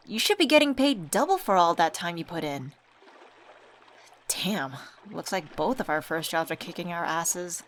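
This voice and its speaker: A woman's voice: mid-range voice, a bit bright. A young woman acting as a teasing, affectionate old friend in a casual ASMR comfort roleplay. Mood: sympathetic, candid. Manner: playful, teasing, familiar slangy chat.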